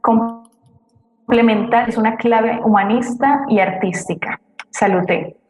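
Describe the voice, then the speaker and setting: A woman's voice: on the high side, slow pace, a little mellow, soft background music. A Colombian woman co-hosting a live programme, presenting and reading out audience messages. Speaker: co-host reading audience comments. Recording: very echoey room, faint noise.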